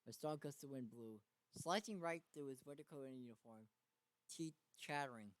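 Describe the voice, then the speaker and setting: Man reading a story, halting delivery. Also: medium pitch, no echo. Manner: halting delivery with stumbles and repeated phrases. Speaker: fan fiction reader. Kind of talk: dramatic story narration.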